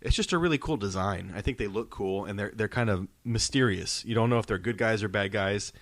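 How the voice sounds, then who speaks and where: A man's voice: on the low side, a little fast, no echo. A middle-aged man, hosting a casual podcast discussion. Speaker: podcast host.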